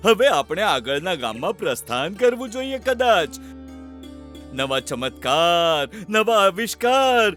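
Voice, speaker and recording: Man playing a pompous, high-voiced fake holy man. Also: a bit bright, exaggerated voice. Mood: scheming. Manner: grandiose, slow chanting and commanding. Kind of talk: children's cartoon voice acting.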